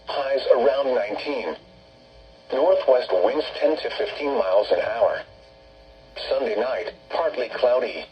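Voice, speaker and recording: A synthetic text-to-speech man's voice reading a scripted weather forecast over radio in a formal, segmented, flat manner. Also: medium pitch, measured pace. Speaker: automated weather radio announcer. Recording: muffled, noisy weather radio broadcast with hum.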